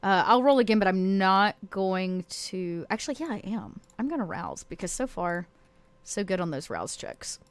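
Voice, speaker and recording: Lively woman playing a tabletop game. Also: mid-range voice, normal speed, smooth. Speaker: tabletop roleplaying game player. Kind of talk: casual game-table talk.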